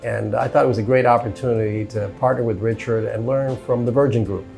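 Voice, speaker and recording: Gravelly-voiced man telling his career story. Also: medium pitch, fairly even intonation, fairly quick, a little mellow, soft background music, poor audio. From born in Cuba, raised in Miami. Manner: easygoing, sprinkles "you know" and "uh". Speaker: hotel company executive, interview guest. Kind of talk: relaxed conversational storytelling.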